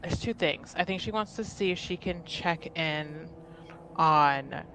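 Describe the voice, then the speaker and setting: A woman playing a tabletop roleplaying game, talking casually and haltingly in slangy, improvised phrases. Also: low voice, sharp tone, slight background noise, rough recording. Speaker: tabletop RPG player.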